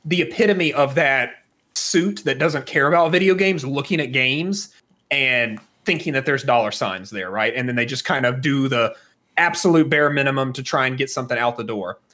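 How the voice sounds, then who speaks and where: A man's voice: mid-range voice, moderate pace, sharp tone. A man co-hosting a podcast, talking casually and thinking aloud in a rambling, conversational way. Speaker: podcast co-host. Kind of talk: casual discussion of films and games.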